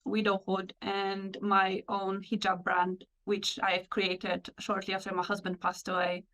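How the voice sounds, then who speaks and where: Woman, young adult guest, candid and reflective, mid-pitched voice. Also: monotone, unhurried, a bit bright, low-quality recording. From Poland. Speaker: interview guest. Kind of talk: personal conversion story.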